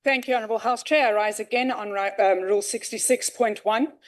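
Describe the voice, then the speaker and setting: A woman's voice: on the high side, brisk. A South African woman, a member of parliament, speaking formally and assertively in a debate and raising points of order.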